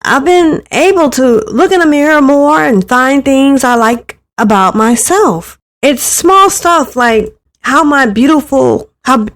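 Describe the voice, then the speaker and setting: A woman acting an insecure, high-voiced teenage girl. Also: takes it slowly. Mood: hopeful. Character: insecure, hurt eighteen-year-old daughter, earnest and defensive. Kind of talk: first-person visual novel reading.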